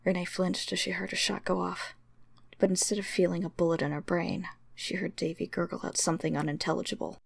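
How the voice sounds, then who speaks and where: Very creaky, husky woman reading a story. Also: lowish voice, level tone, brisk, dry recording. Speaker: story reader. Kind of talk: dramatic scripted narration of fan fiction.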